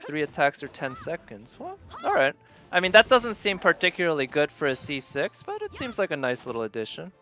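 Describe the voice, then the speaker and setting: A live streamer talks casually to viewers while playing a game. The voice sits at a middling pitch and muses aloud, often hesitating over each thought. Game music plays behind it. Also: sing-song, fairly quick, hardly any pauses, bright, forceful tone. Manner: musing, hesitant, thinking aloud. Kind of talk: casual gaming stream commentary, chatting with viewers. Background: video game music.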